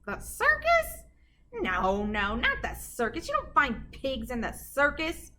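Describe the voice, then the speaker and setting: A woman's voice: high-pitched, huge pitch swings, slow pace, sharp tone, voice pushed up, heavily acted. Woman putting on a shrieky, grouchy old witch. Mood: excited scheming. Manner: exclamatory, dramatic, cackling. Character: grouchy, greedy, hungry old hag witch. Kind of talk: animated children's storybook character reading.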